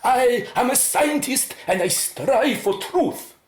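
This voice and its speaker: Radio play role: principled, easily indignant male scientist, played by a woman, very expressive pitch, slow formal delivery. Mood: indignant, defiant. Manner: formal, emphatic, precise diction. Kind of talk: radio drama acting. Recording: roomy echo, faint noise.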